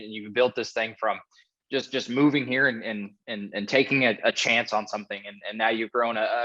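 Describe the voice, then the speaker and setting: A man's voice: mid-range voice, a bit bright, muffled recording. A man hosting a podcast, eager and stumbling over repeated words. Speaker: podcast host.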